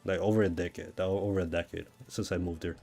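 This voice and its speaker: A man's voice: deep voice, little pitch movement, quick pace, warm tone, husky, faint music behind. A man from Brazil with a Brazilian accent, chatting casually and thinking aloud in a rambling way as he streams a game. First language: Portuguese. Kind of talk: casual chat while gaming.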